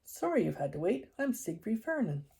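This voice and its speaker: A woman's voice: mid-range voice, very animated, brisk, creaky, mellow. Woman playing a breezy, amused Englishman. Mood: relaxed. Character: easygoing, amused English country gentleman.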